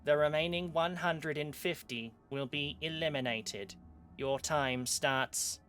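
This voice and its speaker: A man voicing a loud, serious tournament announcer in a visual novel, speaking slowly and deliberately. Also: soft background music. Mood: commanding. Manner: booming, slow and deliberate.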